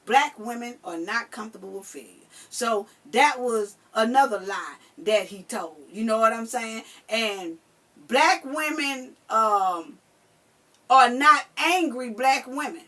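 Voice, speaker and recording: A woman with a high voice talks slowly and deliberately through a commentary monologue. She is blunt and profane, hammers the same phrases again and again, and sometimes raises her voice to drive a point home. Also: expressive, sharp tone. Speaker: video commentator replying to another video.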